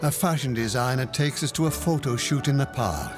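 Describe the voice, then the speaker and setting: A man narrating a travel documentary in a calm, measured scripted voice-over. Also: medium pitch. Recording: voice-over with music behind. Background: music.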